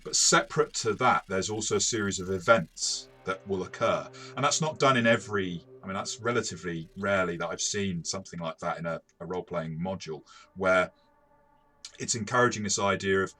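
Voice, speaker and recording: A man's voice: low-pitched, a little fast, sharp tone, soft background music. A man co-hosting a casual, analytical game review, explaining things in a hesitant way and often rephrasing mid-sentence.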